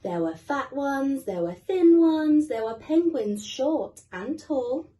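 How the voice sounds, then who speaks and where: A woman's voice: sits high, lively intonation, takes it slowly, dark tone, distant mic. A British woman reading a children's storybook expressively, with asides to young listeners. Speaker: guest reader.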